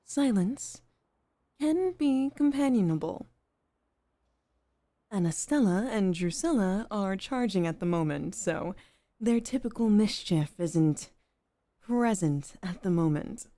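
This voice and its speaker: A woman playing a composed, formal head maid as a devoted girlfriend in an intimate ASMR roleplay, speaking softly and often near a whisper. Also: medium pitch, expressive, no echo. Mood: tender, composed. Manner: soft, measured, formal, often dropping toward a whisper.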